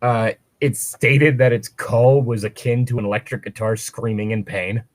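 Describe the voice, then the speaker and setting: A man's voice: mid-range voice, steady tone, moderate pace, no echo. A man reading fan fiction aloud with casual, joking banter and digressive commentary. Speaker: co-reader and commentator.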